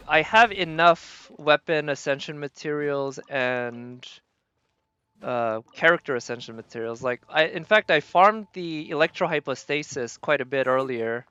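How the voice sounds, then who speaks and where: A woman giving casual livestream game commentary, thinking aloud in halting fragments as she plays. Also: a bass voice, fairly bright tone, clean tone, no echo, lo-fi sound. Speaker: game streamer.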